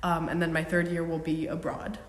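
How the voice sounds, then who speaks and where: Low flat-voiced young woman, echoey room. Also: brisk. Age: young adult. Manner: relaxed, with frequent ums. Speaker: alumna guest. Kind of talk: podcast interview. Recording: echoey room with long reverb.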